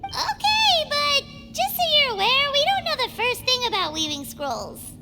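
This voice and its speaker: A woman's voice: very high voice, over loud music, heavily acted. A woman voicing a tiny, girlish game companion who is curious, chatty and blunt, full of questions. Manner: excitable, chatty, quick to question.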